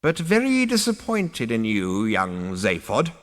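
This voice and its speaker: A man voicing a tiny, ancient two-headed male ghost who snaps and scolds in a rasping, screechy voice. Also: mid-range voice, wildly swooping pitch, measured pace, fairly bright tone, big-room sound. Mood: contemptuous. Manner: snappish, disdainful scolding.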